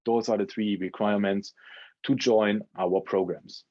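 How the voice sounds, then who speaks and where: A man speaking as a culinary school representative, explaining information in a measured, careful way during an interview-style talk. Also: fairly low pitch, fairly even intonation, a little mellow, lo-fi sound. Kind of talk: informational interview answers at an education fair talk.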